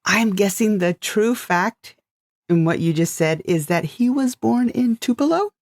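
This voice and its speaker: A woman's voice: medium pitch, lively intonation, measured pace, darkish tone, dry recording, clean studio sound. A woman from the United States co-hosting a podcast, chatting casually about stories she writes. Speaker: podcast co-host and story writer.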